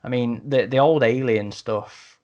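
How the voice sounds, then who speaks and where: A man's voice: medium pitch, measured pace, a little mellow, lo-fi sound. A man hosting a podcast, talking through a review in a casual, enthusiastic and conversational way. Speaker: podcast host.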